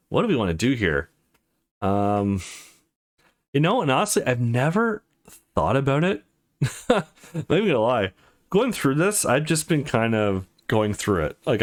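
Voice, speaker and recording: A man giving casual let's play commentary, thinking aloud as he reads and plays through a game. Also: mid-range voice.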